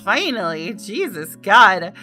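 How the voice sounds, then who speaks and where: A woman's voice: mid-range voice, very animated, slow pace, bright, forceful tone, faint music behind. A woman hosting a gaming video, switching between casual commentary and reading story text aloud.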